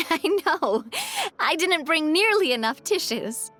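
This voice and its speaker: A woman's voice: sits high, expressive, slow, a bit bright, faint music behind, exaggerated voice. A woman voicing a bubbly, eager young woman in a game who giggles often. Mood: cheerful. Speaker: game character.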